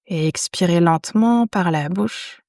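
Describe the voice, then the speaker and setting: A woman leads a guided relaxation in a fairly low voice. She speaks very slowly and soothingly, leaving long pauses between phrases. The sound is dry and close, with very little room in it. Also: creaky, dark tone, no echo. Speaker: yoga nidra guide. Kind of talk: guided meditation.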